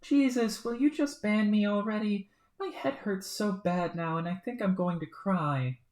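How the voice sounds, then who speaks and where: A woman voicing an exasperated teenage girl who pleads in a high voice. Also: measured pace, a little mellow, lightly acted. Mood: pleading. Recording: roomy echo.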